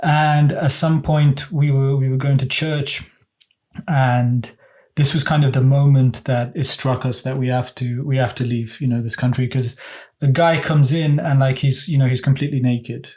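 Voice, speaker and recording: A man's voice: medium pitch, steady tone, moderate pace, a little mellow. A young man from England, a podcast guest, telling rambling stories in casual chat with constant fillers.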